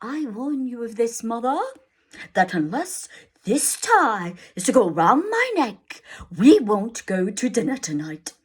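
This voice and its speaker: A woman's voice: fairly high pitch, very animated, slow, slightly rough, heavily acted. A woman reading a storybook aloud, voicing a fussy father who rants and turns sarcastic in a temper. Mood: melodramatic.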